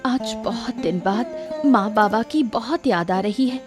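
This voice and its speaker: A woman's voice: mid-range voice, lively intonation, a little slow, lightly acted. A woman playing a meek, mistreated wife in a cartoon moral story, speaking in a timid, pleading way. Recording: music behind the voice.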